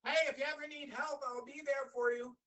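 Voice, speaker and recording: A man's voice: extremely high pitch, steady tone, fairly quick, bright, forceful tone, recorded from a distance, voice pushed up. A man voicing a polite, hungry crow in a told story. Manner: polite, eager.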